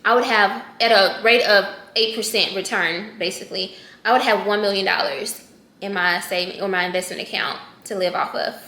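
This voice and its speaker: Bright-voiced woman in her thirties chatting. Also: mid-range voice, steady tone, moderate pace, echoey room. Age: 30s. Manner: conversational, self-correcting, talks straight to viewers. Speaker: personal finance vlogger. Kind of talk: casual chatty check-in.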